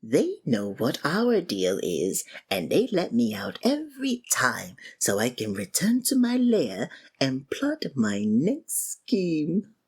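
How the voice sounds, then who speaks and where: A woman acts out a supervillain in an ASMR audio drama, weary yet theatrical. The voice sits low and husky and moves at an unhurried, slow pace. The delivery is sarcastic and taunting, a grand monologue to a captive rival. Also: wildly swooping pitch, darkish tone, full character voice. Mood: matter-of-fact, sardonic. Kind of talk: scripted ASMR roleplay acting.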